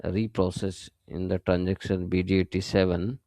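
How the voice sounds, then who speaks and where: A man narrating a step-by-step software tutorial in a plain, methodical, explanatory way. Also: low voice, little pitch movement, moderate pace, dry recording, clean studio sound. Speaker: software instructor.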